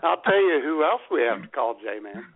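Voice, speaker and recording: Animated man bantering, lo-fi radio sound. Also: mid-range voice, moderate pace, husky. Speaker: radio show host. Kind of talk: casual comedic banter. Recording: lo-fi radio sound, faint noise.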